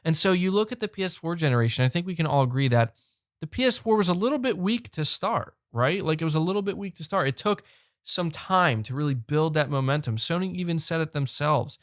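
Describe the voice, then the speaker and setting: Animated young man, close dry mic. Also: fairly high pitch, dry recording. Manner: fast, animated, repeats words when fired up. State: losing his voice. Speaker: podcast host reading super chats. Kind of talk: excited live-stream chat. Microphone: close desk mic.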